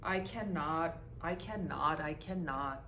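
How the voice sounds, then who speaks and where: A woman's voice: deep voice, pushed and bright, full character voice. A woman voicing a tired, rusty old male engine in a storybook, sighing and defeated. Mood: weary. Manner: slow, weary, sighing. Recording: roomy echo with background noise.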